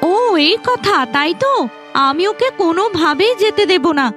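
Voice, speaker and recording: A woman's voice: very high voice, animated, moderate pace, a bit bright, faint music behind. A woman voicing a vain, spiteful young village stepsister in a cartoon, haughty and scheming. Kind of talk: cartoon voice acting.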